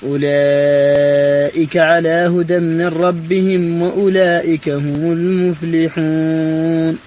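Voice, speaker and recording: A man reciting the Quran as a student, chanting in a slow, measured way. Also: fairly high pitch, little pitch movement, darkish tone. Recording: muffled audio, light background noise.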